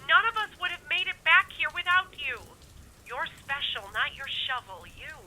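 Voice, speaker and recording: Fierce, commanding woman, bright and piercing, exasperated radio-drama delivery over noisy ambience. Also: sits high, very animated, normal speed, full character voice. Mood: urgent rallying. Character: bossy, fierce, hot-tempered woman rallying a weary hero. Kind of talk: audio drama acting.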